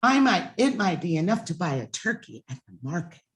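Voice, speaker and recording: A woman's voice: fairly low pitch, very animated, brisk. A woman voicing an earnest, insistent little schoolgirl in a children's storybook. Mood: hopeful. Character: eager, earnest little schoolgirl fretting over the holiday.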